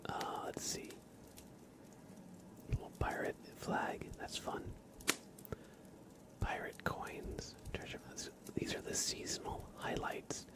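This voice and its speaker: A man hosting an ASMR livestream, chatting casually and gently with viewers in a soft, breathy whisper. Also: takes it slowly, long pauses. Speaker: live ASMR streamer and host.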